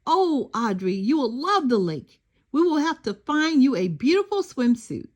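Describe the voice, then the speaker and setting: A woman voicing an excited, affectionate little girl in a children's storybook, sweet and eager. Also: high-pitched, unhurried, heavily acted.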